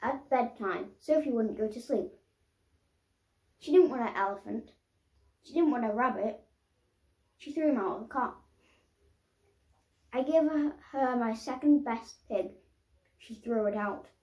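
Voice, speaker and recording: A woman reads a children's storybook aloud in a fairly high voice, taking her time over each phrase. She is expressive and stops to explain the pictures. The recording has a roomy echo. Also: unhurried, pauses often. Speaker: storybook reader. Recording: roomy, echoing sound.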